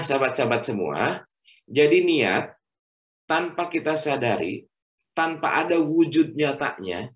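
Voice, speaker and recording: A middle-aged man, a doctor, giving a health lecture at a religious study session, speaking in measured phrases with frequent fillers and addressing the listeners as friends. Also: mid-range voice.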